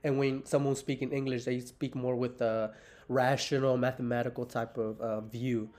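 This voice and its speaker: A relaxed man in his thirties hosts a loose, rambling podcast conversation over a remote call. He swears casually and drifts from thought to thought at an even, middle pitch. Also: normal speed. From United States. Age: 30s. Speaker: podcast host.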